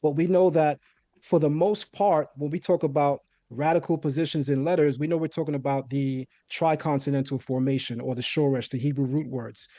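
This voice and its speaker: A man's voice: fairly high pitch, steady tone, fairly quick, mellow, dry recording. A man raised in a Caribbean home, explaining a point of language in a methodical, lecturing way and often checking in with "right?". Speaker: guest debater. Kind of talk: explanatory debate on Hebrew.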